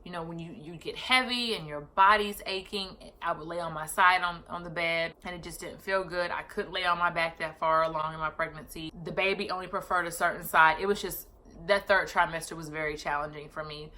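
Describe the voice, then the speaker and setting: A woman's voice: fairly low pitch, fairly even intonation, fairly quick, pushed and bright. A woman in her thirties telling a personal story on her vlog, chatty and casual, often correcting herself mid-sentence. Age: 30s. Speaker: vlogger.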